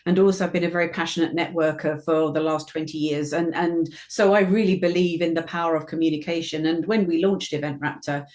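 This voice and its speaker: A British woman in her fifties, a professional voiceover artist, answering interview questions in a lively, chatty way with frequent restarts. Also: sits low, brisk, hardly any pauses. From Britain.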